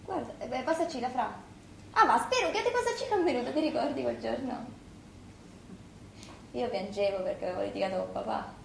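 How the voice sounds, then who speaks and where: An actress playing an anxious, tender Sicilian sister at a wake in a stage drama, with a Sicilian accent. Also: female voice, a little high, expressive, a little fast, vocal fry, long pauses, roomy sound, a little hiss or hum. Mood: tender, nostalgic. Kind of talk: Sicilian dialect stage theatre acting.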